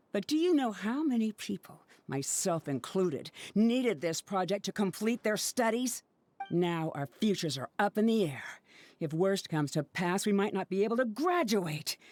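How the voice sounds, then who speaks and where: An actress playing a furious young researcher in a video game, bitter and accusing. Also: female voice, medium pitch.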